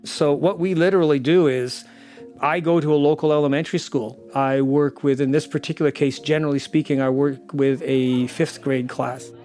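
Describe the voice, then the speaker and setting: Slightly high-voiced man explaining his craft. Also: fairly even intonation, moderate pace, darkish tone, faint music behind. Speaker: audio drama sound designer. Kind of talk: explanatory interview talk.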